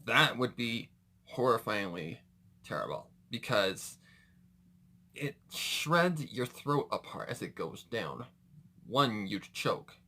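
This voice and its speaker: Male reaction host, lowish pitch, lively swings, casual, rambling, exclamatory. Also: takes it slowly.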